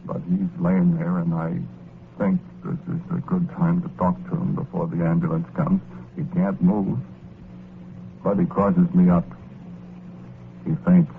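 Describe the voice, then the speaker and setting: A man's voice: low-pitched, lively intonation, a little slow, gravelly, pauses often, exaggerated voice. An actor with an American accent playing a well-meaning, exasperated working-class ghost who tells his story in slangy 1940s radio drama style. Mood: wry storytelling. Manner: colloquial, folksy slangy storytelling. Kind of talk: 1940s radio horror-comedy acting, first-person narration. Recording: scratchy, noisy, narrow-band old radio recording.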